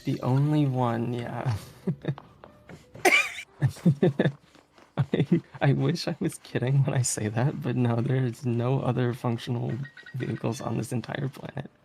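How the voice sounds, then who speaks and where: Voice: medium pitch, steady tone, unhurried, gravelly, pauses often, mellow, slight background noise, rough recording. A speedrunner giving casual live commentary on a game, chatty and giggly, with some hesitation while explaining. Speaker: speedrunner presenting a game run. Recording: game music behind the voice. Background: game music.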